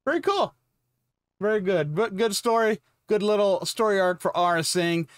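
A man's voice: high-pitched, animated, normal speed, dry recording, studio quality. A man in his fifties hosting a reaction video with casual commentary in short, choppy, informal remarks. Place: small home room full of collectibles. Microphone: close mic on boom arm.

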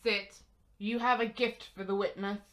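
A woman's voice: mid-range voice, steady tone, moderate pace, fairly bright tone, close to an everyday voice. A woman acting a solemn old man, keeper of a legend, oracular in an amateur radio drama. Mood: guarded.

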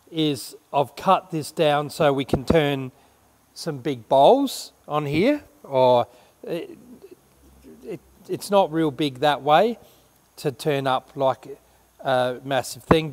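A man's voice: fairly high pitch, in a large hall. A middle-aged man, chatting casually to camera in a big workshop, rambling and correcting himself as he explains. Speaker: renovation vlogger. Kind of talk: casual show-and-tell to camera. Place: large workshop shed indoors.

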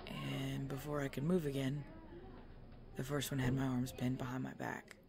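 A woman playing a tough, weary, injured biker girlfriend in an ASMR roleplay audio drama, speaking haltingly and protective of her boyfriend. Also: extremely low pitch, flat delivery, noisy background. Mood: weary, pained.

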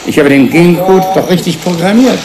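Older male professor for radio drama: gravelly, swinging intonation, proud, querulous, insistent. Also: high-pitched, animated, normal speed, rough recording. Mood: baffled, brooding. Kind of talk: German radio drama acting.

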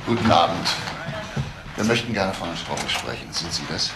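An actor playing a brusque police detective in a radio crime drama, questioning in a brisk, pressing way. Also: male voice, low-pitched, expressive, gravelly. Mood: formal. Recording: noisy radio drama, background ambience.

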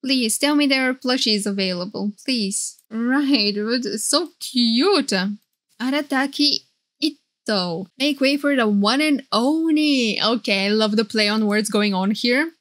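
A woman doing excited live reaction commentary as a streamer, gushing and flustered, often repeating herself. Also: a little high, measured pace, dry recording. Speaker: VTuber streamer reacting to game trailers. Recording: game trailer music behind voice.

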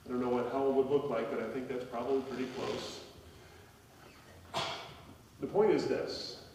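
A man's voice: medium pitch, fairly even intonation, normal speed, noisy background. A man in his forties, a pastor preaching a conversational sermon from a pulpit, telling stories with a few fillers. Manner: conversational storytelling with gestures, frequent fillers. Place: church sanctuary, at a pulpit. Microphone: pulpit microphone, filmed by a far camera. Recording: echoey church hall, voice from a distance.

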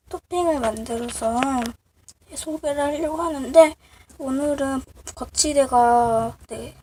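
A woman with a cold that makes her voice sound off, casually showing handmade crafts in a halting, apologetic, self-deprecating way. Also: sits high. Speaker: craft video creator. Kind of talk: casual show-and-tell of handmade clay miniatures. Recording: noisy amateur recording with clicks.

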